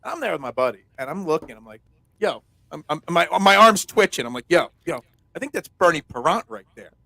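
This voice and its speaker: A man hosting a sports talk show, loud and chatty, telling rambling stories. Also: fairly high pitch, big pitch swings, a little fast, creaky, no echo. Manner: chatty, rambling storyteller with many restarts. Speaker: host. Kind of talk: casual sports talk interview.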